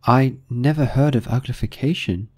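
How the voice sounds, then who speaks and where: A man's voice: mid-range voice, moderate pace, voice pushed up, lightly acted. A man voicing a polite, curious little girl from a storybook, with a questioning, slightly doubtful manner. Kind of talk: storybook character reading.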